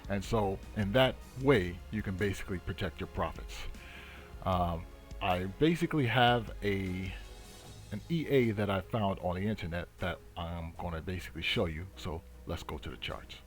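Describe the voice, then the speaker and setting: Low-voiced man giving a halting tutorial. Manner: halting, repetitive delivery with many hesitations. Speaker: trading tutorial host. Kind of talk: unscripted explanatory screen tutorial. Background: music.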